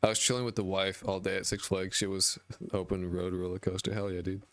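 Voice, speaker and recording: A very deep, raspy male voice, tired and frayed after hours of nonstop talking. He mumbles through a casual, rambling stream chat, filled with fillers and the odd curse. It is a dry, close desk mic with faint music underneath. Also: flat delivery, fairly quick, no room sound. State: voice worn out from twelve hours of talking. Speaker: indie game dev streamer. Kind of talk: casual live-stream chat reading viewer messages. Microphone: desk mic with a high-pass filter.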